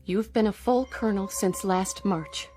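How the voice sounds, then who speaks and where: An actress playing an astronaut's concerned American wife in a radio drama, gentle and reassuring in her manner. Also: mid-range voice, unhurried, music in the background, natural voice. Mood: alarmed.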